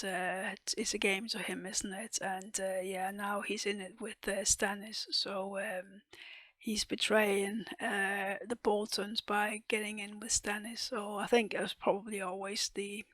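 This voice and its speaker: A woman reviewing a TV episode in casual chat, losing her voice, often clearing her throat and hesitating with ums. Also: medium pitch, no room sound. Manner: hesitant, many ums and restarts, soft half-whispered delivery. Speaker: TV reaction and review host.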